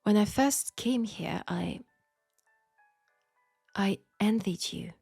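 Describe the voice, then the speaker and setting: A woman with a French accent playing a frank, perceptive seamstress in an intimate steampunk audio drama, speaking softly and deliberately with pauses. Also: mid-range voice, no echo, faint music behind, heavily acted. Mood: earnest, compassionate. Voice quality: soft, breathy. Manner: hushed, deliberate, with pauses and breathy sighs. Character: frank, perceptive young Parisian seamstress, gently reproachful. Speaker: audio roleplay performer.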